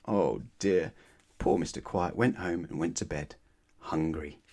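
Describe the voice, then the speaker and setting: A man's voice: sits low, a little slow, dark tone. A man narrating a children's bedtime story expressively, putting questions to his young listeners. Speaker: storybook reader.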